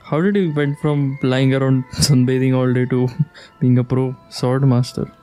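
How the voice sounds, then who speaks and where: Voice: mid-range voice, flat delivery, normal speed, mellow. A game streamer giving casual, informal commentary with frequent giggly reactions. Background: game music.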